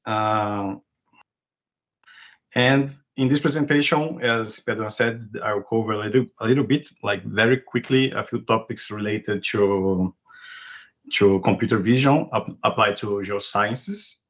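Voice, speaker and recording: A man from Brazil giving a technical lecture over a video call in a relaxed, loosely phrased way. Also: fairly low pitch, a little slow. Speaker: guest lecturer. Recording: dull lo-fi web call audio.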